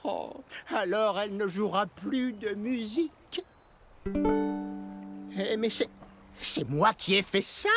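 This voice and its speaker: A woman voicing an excitable, timid pirate crewmate in a French children's cartoon, with a theatrical, exclamatory delivery. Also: mid-range voice, slow, pauses often, over loud music. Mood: flustered. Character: Roberto, excitable timid pirate crewmate proud of his ancestor.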